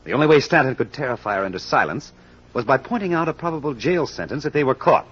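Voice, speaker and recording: An actor playing a wry, unflappable amateur sleuth, calm and playful, in old radio drama style. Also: male voice, medium pitch, quick pace, breathy edge. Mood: explaining. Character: wry, unflappable male amateur sleuth who dotes on cats. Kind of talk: 1940s radio acting. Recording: muffled vintage radio recording.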